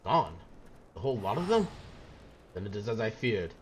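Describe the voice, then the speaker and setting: A man's voice: on the low side, very animated, normal speed, a little hiss or hum. A man reading out a grave, protective village elder from a fantasy game, in earnest, formal speech. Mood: alarmed, grave. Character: grave, protective village elder, worried about rash youths.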